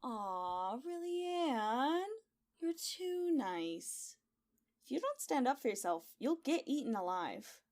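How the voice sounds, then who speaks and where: A woman's voice: sits high, very animated, takes it slowly, a little mellow, exaggerated voice. A woman playing a blunt, sassy young castle maid in an audio drama.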